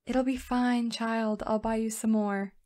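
A woman's voice: a little high, level tone, a little slow, mellow, natural voice. A woman playing a caring, patient teenage girl who mothers her friends in an amateur audio drama. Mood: soothing.

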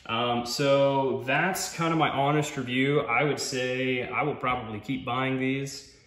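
A man's voice: mid-range voice, fairly even intonation, measured pace, fairly bright tone. A man giving a casual hands-on tool review, explaining informally and thinking aloud as he goes, recorded at a garage workbench. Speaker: product reviewer, hobbyist car detailer. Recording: roomy echo, clear background noise, clicks.

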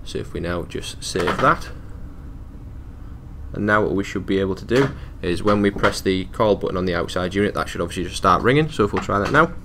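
Deep, gravelly-voiced man giving a tech tutorial. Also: lively intonation, fairly quick, background noise. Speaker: technical support tutorial presenter. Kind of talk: casual step-by-step software walkthrough.

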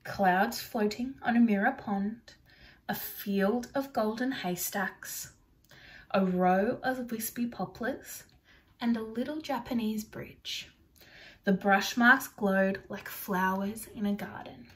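A young adult woman reading a picture book aloud to children in an expressive storytelling style, recorded indoors on a device about arm's length away. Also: medium pitch, slow pace. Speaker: storybook reader for primary children. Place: small furnished room indoors.

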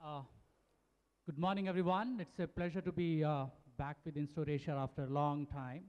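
A man's voice: on the high side, flat delivery, normal speed, clear, resonant tone, muffled recording. A man reading out audience questions at a conference, hesitant and full of fillers. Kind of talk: Q&A moderation. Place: large conference hall stage, at podium. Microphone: handheld mic. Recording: long hall reverb.

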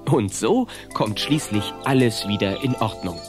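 A man's voice: on the low side, expressive, measured pace, music in the background. A man narrating a German children's adventure radio play as the storyteller. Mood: storytelling.

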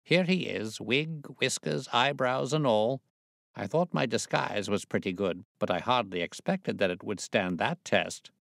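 A man voicing a self-assured, commanding gentleman detective, articulate and authoritative, in an audiobook. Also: fairly low pitch, measured pace, gravelly, no echo, clean studio sound, pitched above the performer's own voice. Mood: amused.

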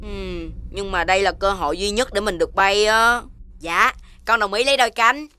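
A woman with a southern Vietnamese accent voicing a naive, excitable, chubby young animal in a children's cartoon, with childlike delivery. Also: medium pitch, normal speed, clean tone, natural voice. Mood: eager. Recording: prominent music behind the voice.

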